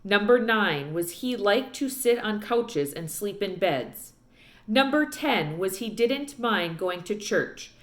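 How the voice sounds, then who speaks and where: A woman narrating a children's book aloud expressively, recorded with roomy echo. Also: mid-range voice.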